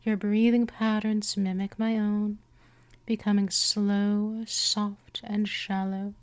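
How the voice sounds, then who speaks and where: A gentle, dominant female vampire, acted by a woman in an ASMR hypnosis roleplay. She speaks very slowly at a medium pitch, soothing and teasing her prey, and often drops into a breathy whisper. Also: little pitch movement, natural voice. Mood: tender, hypnotic.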